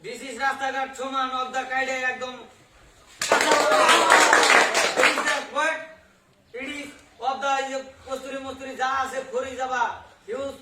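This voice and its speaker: A man acts out a bumbling, self-important village politician in a homemade comedy skit. His voice-changed speech is extremely high, halting and bombastic. It is picked up from a distance in an echoey space with noise behind it. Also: steady tone. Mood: loud, blustering. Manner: halting, bombastic, garbled broken English mixed in. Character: bumbling, blustering male village politician promising favours. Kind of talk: amateur comic skit speech. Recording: echoey, distant pickup with clear background noise.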